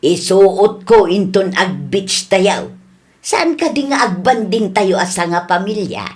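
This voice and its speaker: A woman's voice: mid-range voice, lively intonation, takes it slowly. A woman with an Ilocano Filipino accent playing a bossy, meddling older mother in a radio comedy drama. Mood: coaxing, vain. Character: bossy, meddling older mother who will not be refused.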